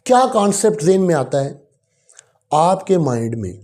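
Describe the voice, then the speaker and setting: A law lecturer with a Pakistani accent explaining in a didactic way, posing questions and answering them, and switching into deliberate English. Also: mid-range voice, very animated, darkish tone. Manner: switches between Urdu and slow, deliberate English.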